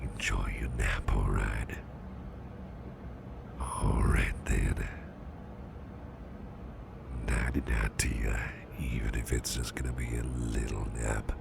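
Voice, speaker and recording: A man playing a gentle, protective boss in an ASMR roleplay, speaking in a soft, breathy, soothing near-whisper with an unhurried manner. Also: very deep voice, steady tone, noisy background, exaggerated voice. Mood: soothing, tender. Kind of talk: ASMR roleplay, close personal attention.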